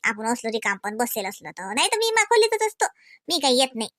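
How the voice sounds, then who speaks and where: Woman acting a chatty village grandmother, very high voice, Varhadi Marathi accent, colloquial rambling, comedy cartoon, close dry sound. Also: very animated, fairly quick, a bit bright, dry recording. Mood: chatty, fond. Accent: Varhadi Marathi from Vidarbha. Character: chatty village grandmother grumbling about family, fond of grandchildren.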